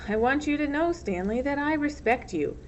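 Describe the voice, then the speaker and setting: A woman's voice: high-pitched, expressive, moderate pace, voice pushed up. A woman voicing an earnest, patronizing, encouraging male camp counselor in a story reading. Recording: muffled lo-fi recording, loud background noise.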